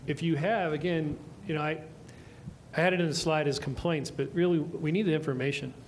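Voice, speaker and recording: A man's voice: fairly high pitch, fairly even intonation, a little fast, slight background noise. A man, a city official, explaining stormwater issues at a public meeting in a reassuring way. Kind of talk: answering questions at a public meeting.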